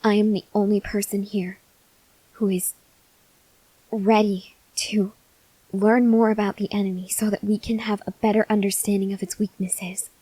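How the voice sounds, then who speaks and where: A woman playing a weak, starving female vampire researcher in an ASMR roleplay, speaking softly and haltingly, often dropping to a whisper. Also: medium pitch, level tone, breathy edge, dry recording, close to an everyday voice, poor audio. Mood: weary, desperate. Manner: slow, halting delivery with long pauses. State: weak, faint with hunger (acted). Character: starving female vampire researcher, weary, earnest, gently pleading. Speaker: voice actor. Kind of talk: ASMR roleplay, acted monologue to listener.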